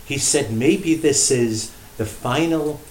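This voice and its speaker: A man with a medium-pitched voice speaks as a priest being interviewed. He tells history in a measured, emphatic storyteller's way, pausing for effect. The room adds clear echo, with faint noise behind him. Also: darkish tone. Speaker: priest and shrine director interviewed as guest. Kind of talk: unscripted storytelling in a radio interview. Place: indoor room at a shrine.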